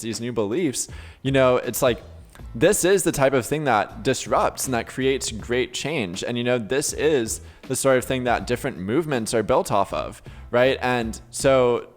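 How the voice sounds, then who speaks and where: With music underneath, a man talks conversationally as an interview guest. His voice sits at an average male pitch. He explains ideas in an earnest, affirming way, sprinkling in "you know" as he reflects. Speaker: podcast guest, life coach.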